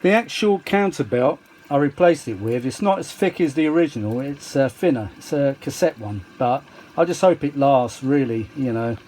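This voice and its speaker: A man's voice: medium pitch, expressive, moderate pace, darkish tone. A man giving a casual, rambling hobbyist demonstration, chatty and self-deprecating, with muttered asides. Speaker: vintage audio hobbyist presenting a restoration.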